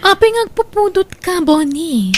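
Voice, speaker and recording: A woman's voice: very high-pitched, very animated, a little slow, slight background noise, exaggerated voice. A woman acting a scheming young girlfriend in an audio drama, with a Filipino accent. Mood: demanding. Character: scheming, money-demanding young girlfriend, sweet to suitors. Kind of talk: Ilocano radio drama acting.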